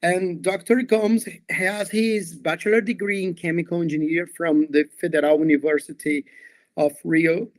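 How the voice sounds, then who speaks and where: A man hosting an online seminar, reading the guest's introduction with pauses and fillers. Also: fairly high pitch, no room sound. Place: video call.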